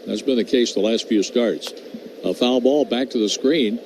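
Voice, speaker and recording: A man doing measured, descriptive live play-by-play of a baseball game as a radio announcer. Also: mid-range voice, a little mellow, poor audio. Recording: clear background noise at ballpark.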